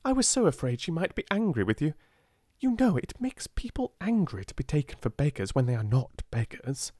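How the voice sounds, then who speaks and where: Storybook rich schoolgirl, British, fretful and excitable, pitch lifted man reading the part. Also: brisk, no echo, studio quality. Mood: anxious, excited. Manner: alarmed, chattering. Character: well-to-do young sisters, anxious and excitable.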